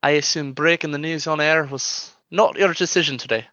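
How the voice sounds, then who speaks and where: A man's voice: a little high, steady tone, fairly quick, bright, forceful tone, no echo, highs cut off. A man acting in a comedy radio play as a friendly, mild bartender with a slightly hesitant manner. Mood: sympathetic. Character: friendly, easygoing bartender, gently firm.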